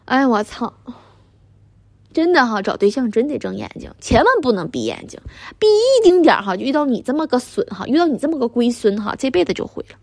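A woman playing a furious middle-aged wife and mother with a Northeastern Chinese accent and a husky voice, berating her husband in coarse, sarcastic language in a dramatic monologue. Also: a little high, big pitch swings, a little fast, no echo, full character voice. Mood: scornful, exasperated. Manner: scolding, sarcastic, coarse swearing. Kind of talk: dramatic one-sided argument monologue.

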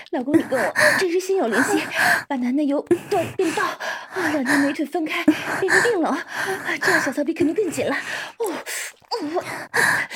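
An actress plays a dominant wife in an audio drama. Her voice is high and excitable. She speaks in exclamations with drawn-out interjections. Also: a little hiss or hum. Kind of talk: erotic audio drama for adults.

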